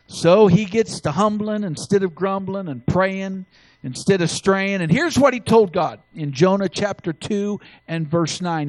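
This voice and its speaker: A man's voice: high voice, no echo, low-quality recording. A man preaching a sermon, reading scripture aloud with emphasis and often restarting his phrases.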